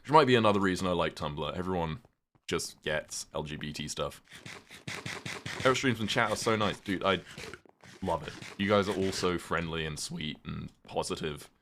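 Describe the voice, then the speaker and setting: A young man with a British accent and a notably deep voice talks loosely to his live chat as he plays. He drifts between topics, filling pauses with "um" and "like". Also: fairly bright tone, slight background noise. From Britain. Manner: rambling, chatty, lots of "um" and "like". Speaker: streamer. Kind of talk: casual live-stream chat while gaming.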